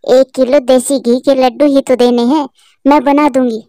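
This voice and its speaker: A woman's voice: high voice, fairly even intonation, normal speed, dark tone, dry recording, studio quality, natural voice. A woman voicing a worried, loyal female pigeon wife in a cartoon. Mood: anxious. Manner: soothing, anxious.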